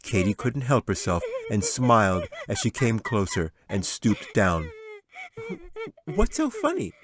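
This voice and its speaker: A man's voice: lowish voice, measured pace, crowd noise. A man narrating a fantasy audiobook in a dramatic, scripted storytelling manner. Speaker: author reading his audiobook.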